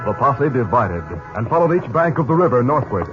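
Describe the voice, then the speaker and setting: A man's voice: mid-range voice, big pitch swings, brisk. A man giving dramatic scripted narration as the narrator of a 1950s western radio serial. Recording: dull old radio recording with music.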